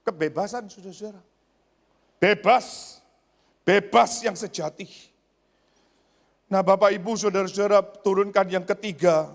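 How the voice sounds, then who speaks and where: High-voiced male preacher in echoing hall. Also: normal speed, gravelly, long pauses. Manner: emphatic, repeats phrases for effect; swings between raised exclamations and hushed asides. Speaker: pastor. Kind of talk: church sermon. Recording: long hall reverb.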